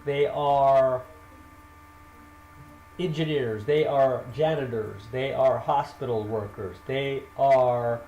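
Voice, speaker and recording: A man teaching a basic English grammar lesson, speaking deliberately, drilling example sentences and correcting himself. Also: mid-range voice, lo-fi sound, slight background noise. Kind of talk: slow spoken grammar lesson. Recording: roomy echo with faint ambience.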